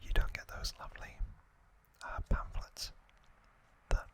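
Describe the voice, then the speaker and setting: A man hosting an ASMR video, whispering softly and deliberately into a close microphone. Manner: slow, deliberate, soft whispering. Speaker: ASMR video creator and host.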